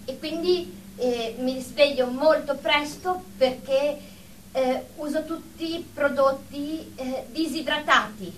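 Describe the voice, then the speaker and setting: A woman's voice: high-pitched, slow. An actress playing a prim, anxious germophobe nurse in a radio drama, fussy, defensive and hesitant as she explains herself. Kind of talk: Italian radio drama acting. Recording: echoey room, distant mic, background noise.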